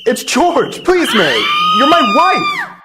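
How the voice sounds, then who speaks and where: An actor playing a desperate family man who pleads and shouts, then turns overjoyed. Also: very high voice, very animated, moderate pace, a loud crowd, very noisy. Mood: desperate pleading. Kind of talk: stage or radio play acting.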